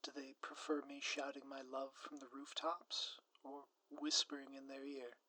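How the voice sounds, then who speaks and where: A man's voice: mid-range voice, completely flat delivery, normal speed, pushed and bright, no echo, poor audio. A man acting a scheming, secretly lovestruck supervillain in an ASMR audio roleplay, speaking to the listener in grandiloquent, florid phrases. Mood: tender, hesitant. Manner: grandiloquent, theatrical, florid formal wording. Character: theatrical male supervillain, scheming, secretly lovestruck doting spouse. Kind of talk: scripted ASMR roleplay, direct address to listener.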